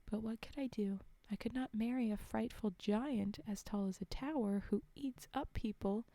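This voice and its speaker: A woman's voice: fairly high pitch, normal speed, dark tone, no echo, natural voice. A woman reading aloud the part of a haughty, demanding young princess in a fairy tale, in formal storybook phrasing. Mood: imperious, aggrieved.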